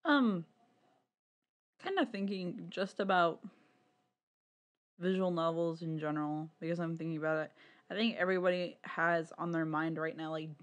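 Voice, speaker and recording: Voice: mid-range voice, normal speed, long pauses. A podcast co-host chatting casually and swearing freely about a hobby. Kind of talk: casual podcast chat about visual novels.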